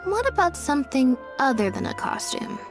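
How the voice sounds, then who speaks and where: A woman voicing a calm, deadpan young female magician's assistant with a dry delivery, in game voice acting. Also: high voice, moderate pace. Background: game music.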